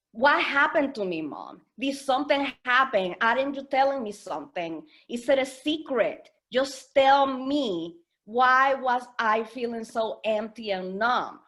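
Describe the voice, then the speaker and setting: A woman from the Dominican Republic speaks at a slow, unhurried pace, in a fairly high voice. She pauses often and searches for her words as she tells her own story. She is recorded over a video call. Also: fairly bright tone. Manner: hesitant, reflective, many fillers. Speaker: guest speaker, founder. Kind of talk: personal testimony at a webinar.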